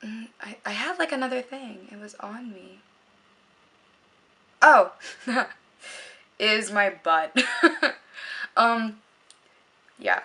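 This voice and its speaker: A young woman chatting casually to the camera in a home vlog, rambling with lots of likes and ums in a low voice. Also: lively intonation, extremely slow, pauses often, sharp tone. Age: young adult. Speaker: beauty vlogger.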